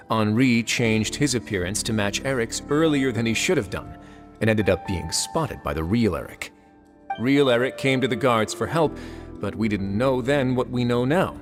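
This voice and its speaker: An actor playing a composed, authoritative duke in a video game, explaining in a measured, analytical way. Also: male voice, mid-range voice, lightly acted. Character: composed, authoritative male duke solving a case. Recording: music clearly behind the voice.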